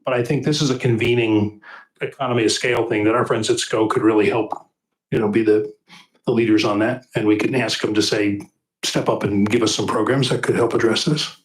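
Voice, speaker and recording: A man's voice: lowish voice, steady tone, fairly quick. A school board member argues his point earnestly, thinking aloud as he goes. His mid-range voice carries room echo from the meeting hall. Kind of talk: meeting discussion.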